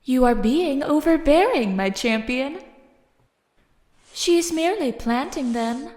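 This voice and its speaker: A woman's voice: sits high, animated, slow, a little mellow. A woman doing a formal spirit companion, echoing. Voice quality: formal, old-fashioned phrasing. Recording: roomy reverberant echo.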